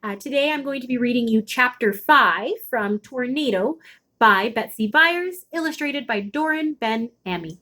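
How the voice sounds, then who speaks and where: A woman reading a children's chapter book aloud expressively, with dramatic pauses and drawn-out emphasis. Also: a little high, animated, pushed and bright. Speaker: storybook read-aloud host.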